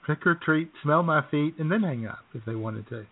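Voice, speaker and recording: A man's voice: on the high side, fairly quick. A man co-hosting a comedy radio show in casual, sardonic banter with crude humour. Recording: narrow phone-like audio.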